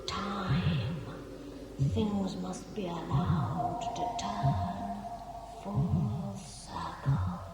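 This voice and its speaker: A man's voice: sits high, expressive, heavily acted. An actor playing a solemn disembodied male dream voice, portentous and cryptic, in a 1980s radio drama. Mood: ominous, solemn. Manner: portentous, drawn-out, repetitive. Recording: heavily echoing, loud music behind.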